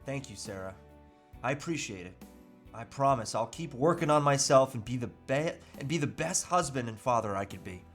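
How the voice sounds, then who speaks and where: A man's voice: medium pitch, lively intonation, normal speed, soft background music, natural voice. A man playing a troubled, self-pitying husband and father who earnestly resists temptation, with hesitant, pleading delivery in a script read. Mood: sincere, grateful. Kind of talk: live table read of a movie script.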